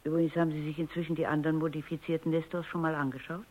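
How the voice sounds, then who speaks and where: A woman's voice: deep voice, little pitch movement, racing. An actress playing a stern, exacting middle-aged woman robot psychologist in a radio drama, speaking precisely and insistently. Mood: insistent, worried. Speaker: lead actor and first-person narrator. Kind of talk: radio drama acting and narration. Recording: dull, band-limited radio recording.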